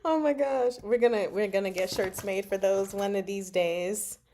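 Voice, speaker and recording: Slow-paced, expressive woman chatting about books. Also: medium pitch, a little hiss or hum. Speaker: book discussion vlog host. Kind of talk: casual live book chat.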